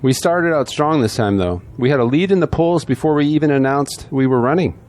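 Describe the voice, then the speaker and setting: A man acting as a long-serving male councilman in an audio drama, with a medium-pitched voice. He sounds weary and subdued, a politician who concedes points. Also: animated, a little fast, darkish tone, lightly acted. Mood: reluctant. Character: weary veteran male city councilman seeking reelection.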